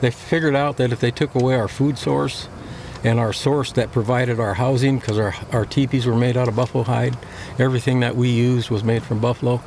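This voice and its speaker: A man giving reflective interview answers in a thoughtful, hesitant way with many pauses and fillers. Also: mid-range voice, level tone, a little mellow, slight background noise.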